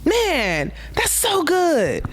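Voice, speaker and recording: Expressive woman reviewing a film. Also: extremely slow. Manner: expressive, conversational, acts out quotes with big pitch jumps. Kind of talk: casual reflective monologue. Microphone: handheld mic.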